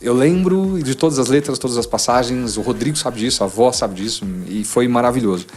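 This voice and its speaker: A man's voice: medium pitch, brisk, slight background noise. A man in his forties with a São Paulo Brazilian accent, telling a personal story as a podcast guest, casually acting out remembered conversations. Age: 40s. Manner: animated retelling with quoted dialogue.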